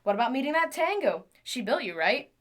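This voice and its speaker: A woman's voice: high voice, very animated, fairly quick. A woman playing an obsessive, lonely scientist and tinkerer in an audio drama, chatty and theatrical with a volatile intensity. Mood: brittle cheerful chatty.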